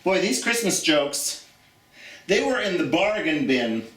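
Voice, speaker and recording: Echoey, high-pitched, animated male American storytime host. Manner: theatrical, drawn-out emphasis on key words. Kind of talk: children's storytime, reading and chatting to viewers. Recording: roomy, echoey, distant from the mic.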